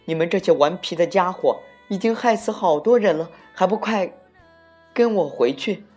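A woman's voice: on the low side, animated, moderate pace, a little mellow, slightly rough, voice pushed up. Woman playing stern giant guardian, raised emphatic voice. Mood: angry scolding. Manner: emphatic, scolding and resolute. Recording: muffled lo-fi recording with music.

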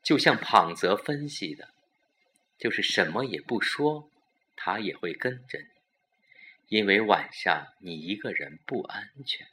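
A man narrating a scripted suspense story in a dramatic, deliberate storytelling manner. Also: on the low side, animated, sharp tone.

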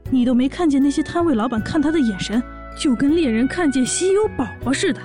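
A woman voicing an excitable young orc wife and daughter, narrating and chatting in a fan audio drama. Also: high-pitched, quick pace, faint music behind. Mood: chatty, coaxing.

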